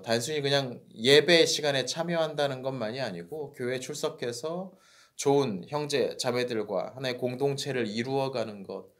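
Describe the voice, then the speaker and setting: Male co-host, hoarse from a cold, calm and reflective, medium pitch. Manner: calm, thoughtful explaining. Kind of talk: reflective book discussion.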